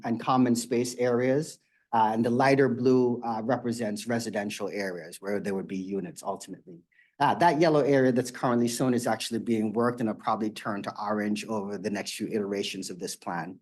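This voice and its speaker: A man's voice: medium pitch, darkish tone. A man presenting development plans at a community meeting, explaining steadily with frequent fillers. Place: meeting room.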